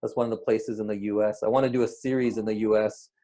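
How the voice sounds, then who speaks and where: A man's voice: on the low side, flat delivery, quick pace, warm tone. A man hosting an interview podcast, speaking with frequent hesitations and fillers. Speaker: podcast host. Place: remote call.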